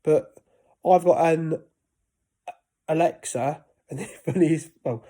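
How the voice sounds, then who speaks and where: A man's voice: fairly high pitch, slow, mellow. A man in his thirties talking casually to camera about life with a stutter, often breaking off and restarting words. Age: 30s. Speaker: vlogger.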